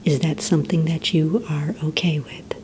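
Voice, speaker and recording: A woman playing a polite, organized receptionist at a supervillain recruitment center in a soft-spoken, breathy ASMR roleplay, with gentle, hesitant, courteous questioning. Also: low-pitched, fairly even intonation, moderate pace, background noise, lightly acted. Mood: gentle, businesslike. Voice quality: soft, breathy, near-whisper.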